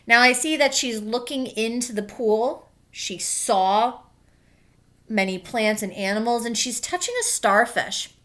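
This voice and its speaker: A woman teacher reading a children's book aloud and pausing to explain it in simple words for young pupils. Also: on the high side, a bit bright.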